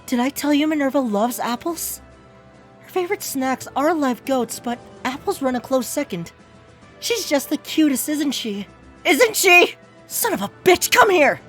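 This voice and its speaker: A woman playing a doting, excitable young wyvern rider, gushing in game-character style. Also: sits high, unhurried, faint music behind, exaggerated voice. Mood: exasperated.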